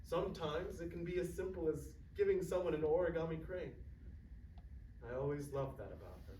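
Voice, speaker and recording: A tender, grieving grandfather played by a man in a stage play, with a slightly higher voice and halting, reflective delivery. The sound is echoey and picked up from a distance. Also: dark tone, natural voice. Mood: nostalgic, tender. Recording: echoey room, distant mic, faint noise.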